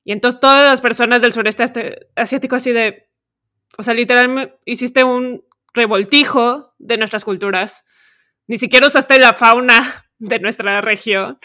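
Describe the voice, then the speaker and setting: A woman hosts a podcast in a relaxed, thoughtful way. She lists examples one after another and lets some sentences trail off. Her voice is bright and sits a little high. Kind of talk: casual podcast conversation.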